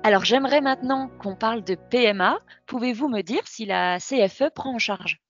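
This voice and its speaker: A woman hosting a podcast and asking measured, scripted interview questions. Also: on the high side, soft background music. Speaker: podcast host. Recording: dull lo-fi sound, very dry.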